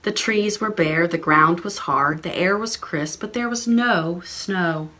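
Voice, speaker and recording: A woman's voice: lowish voice, measured pace, reverberant, far from the mic, background noise. A woman librarian leading preschool storytime, sing-song and encouraging as she talks to small children. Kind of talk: preschool storytime with songs and reading.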